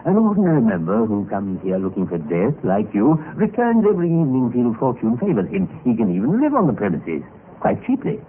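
An actor playing a jaded, infirm, cowardly gentleman who savours fear, speaking with urbane, precious relish in an old-time radio drama. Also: mid-range voice, huge pitch swings, brisk, phone-quality sound. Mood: genteel, chatty. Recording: band-limited vintage radio recording with hiss.

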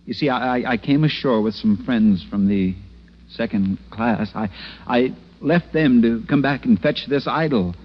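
An actor playing a frightened, polite lost tourist in 1940s radio drama style, stammering and hesitant. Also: male voice, mid-range voice, unhurried, natural voice. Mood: flustered, polite. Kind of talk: 1940s radio drama acting, first-person narration. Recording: dull old radio broadcast with music.

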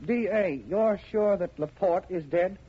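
A man's voice: sits high, a little slow, slightly rough. An actor playing a deferential, tentative police fingerprint man in a 1950s radio drama. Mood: puzzled. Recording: muffled old radio recording.

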